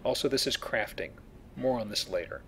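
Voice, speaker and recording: A man's voice: on the low side, expressive, normal speed, fairly bright tone. Man explaining a video game, some background noise. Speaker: let's player playing and explaining the game. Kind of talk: casual gameplay commentary.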